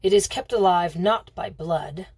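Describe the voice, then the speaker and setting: A woman's voice: fairly low pitch, measured pace, a little mellow, slightly rough, no room sound. Storybook role: stern elderly master thief, voiced by a woman, curt and impatient, dry close sound. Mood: gruff, impatient. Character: gruff, impatient old great-uncle, master thief.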